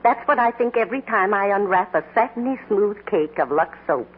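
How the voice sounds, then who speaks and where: A woman playing a thrifty, contented housewife with a husky voice in a 1940s radio advertisement. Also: medium pitch, expressive, brisk. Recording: tinny 1940s radio broadcast.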